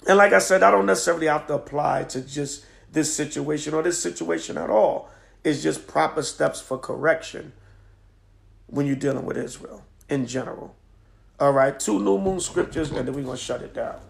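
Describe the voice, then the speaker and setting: A man with a hoarse voice from a cold, teaching scripture in a livestream from home at night, emphatic and preacherly with frequent "all right?" checks. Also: fairly high pitch, normal speed. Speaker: teacher of a religious group. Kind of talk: live-streamed scripture lesson. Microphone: phone on a stand.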